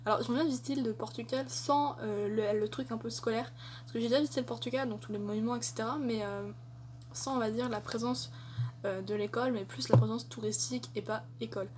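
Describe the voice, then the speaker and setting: Young french-speaking woman rambling thru a q&a, kinda high voice, faint hum behind. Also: steady tone, fast talker. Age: young adult. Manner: chatty, hesitant, many fillers and laughs. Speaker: vlogger. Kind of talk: casual Q&A tag video.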